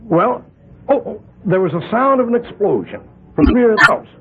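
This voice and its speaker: A man's voice: sits high, sing-song, brisk. An actor playing a flustered male witness who talks hesitantly and stammers, in a 1970s radio drama. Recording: thin, phone-like old radio recording with faint hiss.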